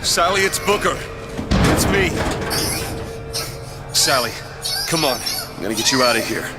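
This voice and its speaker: An actor playing a desperate, gruff man searching for a lost girl in a dramatic video game. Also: medium pitch, lively intonation, a little slow, natural voice. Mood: pleading. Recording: loud game music over dialogue.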